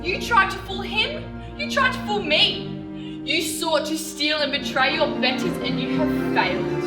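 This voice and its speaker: Young woman acting a high-voiced, coldly accusing sister. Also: heavily acted. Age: young adult. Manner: deliberate, emphatic, articulate stage delivery. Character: Hattie, cold sharp-witted accusing Victorian-era sister. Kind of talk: theatrical monologue performance. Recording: echoey room, distant mic, music.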